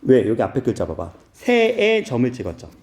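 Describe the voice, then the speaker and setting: A man's voice: mid-range voice, huge pitch swings, a little fast, darkish tone, roomy sound. A man from Seoul teaching a class, asking his students questions and making playful asides. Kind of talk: classroom lecture.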